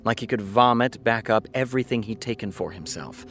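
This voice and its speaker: A man's voice: mid-range voice, fairly quick, a bit bright, soft background music, lightly acted. A man playing a wry, self-critical writer who reads dramatic prose aloud with brooding delivery and muttered asides in an audio drama.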